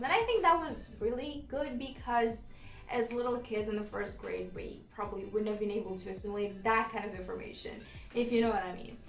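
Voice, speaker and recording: High-voiced Romanian-accented woman, echoey. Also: a bit bright. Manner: chatty, laughs often, frequent ums. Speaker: YouTuber. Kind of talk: casual storytime vlog.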